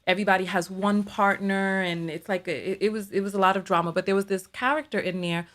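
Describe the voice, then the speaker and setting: A woman's voice: mid-range voice, steady tone, quick pace, a bit bright. A woman hosting a live talk show, asking a caller questions and relaying her points to the audience. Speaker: host. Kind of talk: casual chat.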